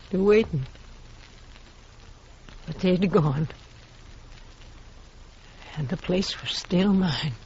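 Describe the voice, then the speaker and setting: A grieving, defiant American frontier widow in a radio western. She talks slowly in plain, folksy, fervent phrases. The old recording is muffled, with faint noise. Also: lowish voice, warm tone.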